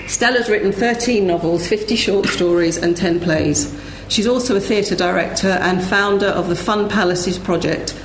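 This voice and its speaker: A woman chairing a panel at a live event, introducing the speakers in a partly scripted way. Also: fairly low pitch. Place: indoor event room with audience. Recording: echoey room, slight background noise.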